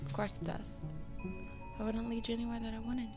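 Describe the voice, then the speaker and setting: A woman commentating on her let's play, a little raspy from just waking up, playful and mock-outraged at the game. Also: on the high side, monotone, a little fast. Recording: game music loud under her voice.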